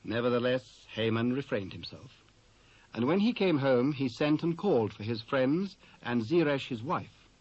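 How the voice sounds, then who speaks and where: A British man narrates scripture in a formal, measured way. His medium-pitched voice is very dry, on a slightly dull old cassette. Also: animated, darkish tone, no room sound.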